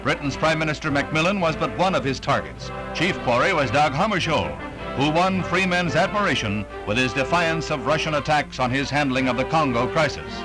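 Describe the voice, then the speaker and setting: A man's voice: fairly high pitch, animated, brisk, over music, poor audio. An American man narrating a scripted 1960s newsreel in a dramatic, authoritative announcer's delivery, on a vintage soundtrack.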